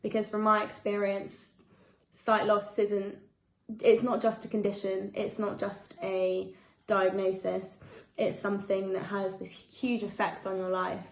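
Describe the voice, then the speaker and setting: An eighteen-year-old woman giving an earnest, steady personal account. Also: mid-range voice, unhurried, roomy sound, low-quality recording. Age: late teens. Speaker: charity supporter. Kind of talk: personal testimony.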